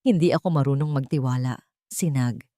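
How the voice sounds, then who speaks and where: A woman voicing a proud, guarded male billionaire sheikh in a romance story, speaking deliberately. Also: extremely low pitch, dark tone, dry recording, clean studio sound, voice pushed down. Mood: earnest, remorseful.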